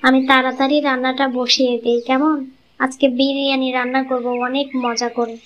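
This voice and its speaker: A woman's voice: high voice, flat delivery, a little fast, fairly bright tone, highs cut off. A woman voicing a selfish young village wife with a coaxing manner in a cartoon. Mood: sweet, coaxing. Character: selfish, greedy young village wife, sweet-talking but secretly resentful. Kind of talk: cartoon moral-story voice acting.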